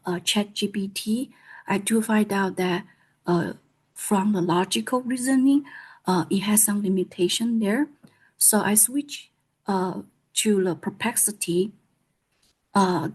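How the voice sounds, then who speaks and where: Slow, halting woman with a Taiwanese Mandarin accent. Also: mid-range voice, darkish tone, breathy edge. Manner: halting, frequent "uh" fillers. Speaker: librarian. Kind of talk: conference presentation.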